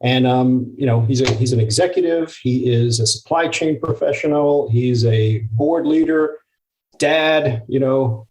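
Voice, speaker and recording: A man's voice: medium pitch, unhurried, darkish tone, rough recording. A man co-hosting a business podcast, introducing a guest in a chatty way with "um" and "you know" fillers. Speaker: podcast co-host. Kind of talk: casual interview hosting.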